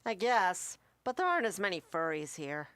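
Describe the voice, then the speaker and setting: A woman's voice: on the low side, sing-song, moderate pace, fairly bright tone, pitched below the performer's own voice. A woman playing a sarcastic, mischievous schoolgirl furry with snarky comebacks in an amateur radio play. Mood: guarded.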